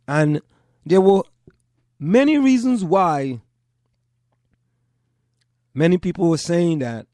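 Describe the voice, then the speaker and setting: A man's voice: a little high, a little mellow, dry recording, studio quality. Man hosting a radio talk show. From Trinidad and Tobago. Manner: measured, speaks in short broken phrases with pauses. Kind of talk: call-in radio talk monologue.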